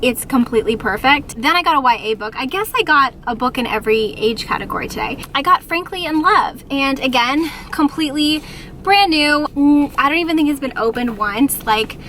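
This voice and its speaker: A young woman talks to her camera in a casual, bubbly book-vlog style, filling her sentences with "like" and "um." Her voice sits high. She sounds a little sniffly from a cold that is coming on. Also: expressive, fairly quick, fairly bright tone, a little hiss or hum. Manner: bubbly and chatty, many "like"s and "um"s. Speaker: book vlogger.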